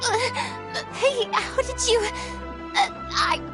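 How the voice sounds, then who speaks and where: A woman's voice: extremely high pitch, extremely slow, over music, full character voice. An actress playing a proud, easily flustered young lady in an anime-style video game, with a hesitant, theatrical manner.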